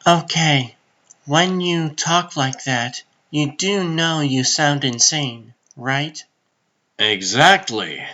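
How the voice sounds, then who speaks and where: A man's voice: on the high side, very slow, sharp tone, muffled recording, heavily acted. A man voicing a wisecracking young superhero in a comic dub, casual, boyish and incredulous. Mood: teasing. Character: wisecracking young male superhero, earnest and excitable. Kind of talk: comic dub character acting.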